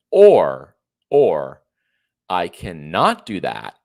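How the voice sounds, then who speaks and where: Brief: man in his 30s, livestream host, stuffy and congested, deep voice, rambling opinions. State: congested and a little tired. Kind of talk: casual solo livestream monologue.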